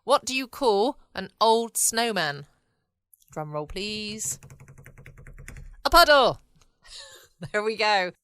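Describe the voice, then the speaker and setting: A woman's voice: medium pitch, expressive, takes it slowly, long pauses, a bit bright, clear, resonant tone, dry recording. A woman with a southern English accent chatting informally and rambling as a solo podcast host. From United Kingdom. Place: at home, dry close room.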